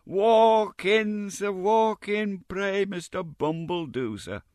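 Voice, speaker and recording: A man voicing a fawning, scheming middle-aged woman nurse with a shrill, gushing, wheedling manner. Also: slow pace, a little mellow, no echo, clean studio sound.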